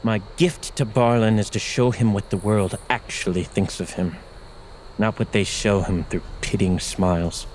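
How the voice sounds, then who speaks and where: Cold, contemptuous prince male actor, slightly low voice, haughty delivery; fantasy audio fiction with light background noise. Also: steady tone, moderate pace, darkish tone, lightly acted, low-quality recording. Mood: scornful. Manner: haughty, dismissive. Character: cold, arrogant, scornful grown prince, contemptuous of weakness. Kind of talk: fantasy audio drama acting.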